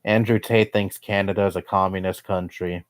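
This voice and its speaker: A man's voice: low-pitched, level tone, normal speed, no echo. A young man streaming live, answering chat in a blunt, rambling way and swearing often.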